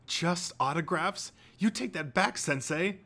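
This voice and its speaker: Man voicing an excitable high-pitched teenage fanboy. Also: fairly quick, heavily acted. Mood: indignant, gushing. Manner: gushing, emphatic, intense. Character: excitable, indignant teenage boy hero fanboy.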